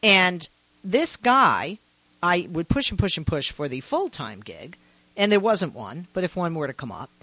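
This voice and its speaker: Low-voiced woman talk radio host. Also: big pitch swings, unhurried, sharp tone, no room sound. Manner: passionate, emphatic and conversational. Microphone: studio microphone. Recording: muffled radio broadcast, dry.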